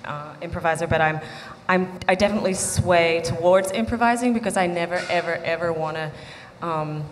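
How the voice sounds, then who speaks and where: A woman's voice: on the low side, brisk. A woman in a group discussion, light and good-humored, a little hesitant. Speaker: workshop participant. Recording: long hall reverb, faint noise.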